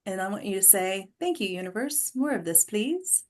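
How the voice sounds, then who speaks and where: A woman's voice: medium pitch, lively intonation, normal speed. A woman hosting an online table read over a video call, speaking casually and motivationally as she guides the group. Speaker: host and director of an online script table read.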